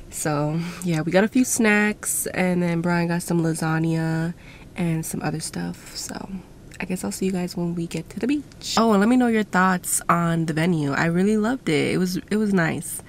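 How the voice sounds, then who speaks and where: Young woman rambling to her phone cam in the car, low-ish voice, noisy background. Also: normal speed. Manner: chatty, laid-back, swears casually. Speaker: vlogger. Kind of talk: casual vlog talk to camera. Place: parked car. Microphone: phone camera at arm's length.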